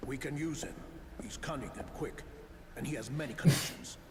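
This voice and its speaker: Guarded, commanding fantasy game lord played by a man, mid-pitched, echoing, with heavy noise. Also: a little fast, close to an everyday voice. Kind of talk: video game voice acting. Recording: echoing, noisy game audio from afar.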